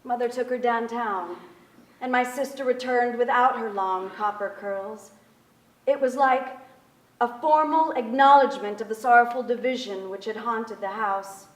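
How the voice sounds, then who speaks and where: Young actress as a wistful memoir narrator, highish voice, stage reading, echoey theater. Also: female voice, moderate pace, recorded from a distance, natural voice. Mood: reflective storytelling. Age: young adult. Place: large theater stage with audience.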